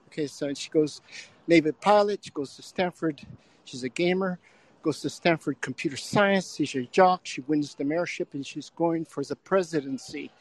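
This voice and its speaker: A man calling into an audio chat to talk about his screenwriting, chatty and enthusiastic with lots of fillers. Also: on the high side. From United States. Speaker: caller. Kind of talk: casual chat.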